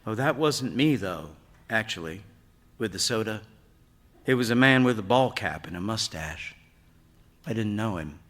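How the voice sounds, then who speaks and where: A man reading a story aloud, voicing a patient, grieving widowed father in a gentle conversational way. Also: fairly low pitch, expressive, a little slow, long pauses. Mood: gentle, rueful. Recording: long hall reverb of a stage.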